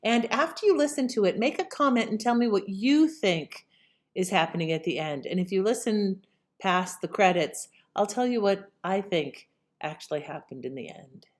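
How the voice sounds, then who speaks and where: A woman's voice: mid-range voice, expressive, moderate pace. A woman hosting, giving a scripted introduction to an old radio show.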